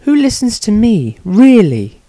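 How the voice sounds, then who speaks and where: Man voicing an animated, earnest young priestess. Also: sits high, measured pace, no room sound, voice pushed up, exaggerated voice. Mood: troubled. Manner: prim, indignant storytelling. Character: earnest, indignant young woman, a trainee priestess adventurer. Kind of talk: dramatised diary reading.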